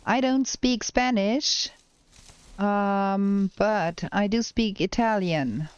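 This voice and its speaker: German-accented woman streamer, unhurried, smooth clear voice on dull lo-fi audio, chatty gameplay commentary. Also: mid-range voice. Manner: slow, thinking aloud while playing. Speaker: game streamer. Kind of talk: casual gameplay commentary with chat replies.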